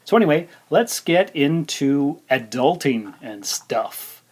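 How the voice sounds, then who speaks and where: A man's voice: a little high, expressive, takes it slowly. Chatty male podcast host. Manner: rambling and conversational, with fillers. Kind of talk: casual solo podcast chat.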